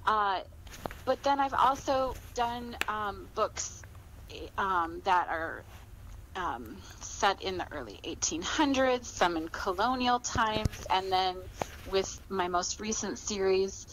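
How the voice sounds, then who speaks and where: A woman with an American accent speaking as an author in a podcast interview, explaining things in a measured, conversational way. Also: mid-range voice, expressive, crowd noise, low-quality recording.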